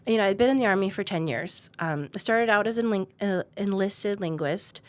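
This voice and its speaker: A woman's voice: mid-range voice, moderate pace. A woman in her forties, a company founder, telling her story in an easygoing way with plenty of ums and laughs. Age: 40s. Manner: easygoing, laughs often, many um fillers. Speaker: guest, defense company founder. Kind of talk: conversational interview storytelling.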